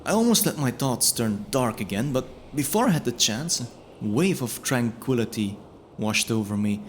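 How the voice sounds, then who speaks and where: A man delivering scripted first-person fantasy narration in a measured, descriptive storytelling manner. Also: medium pitch, expressive, slight background noise. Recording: music bed under the voice.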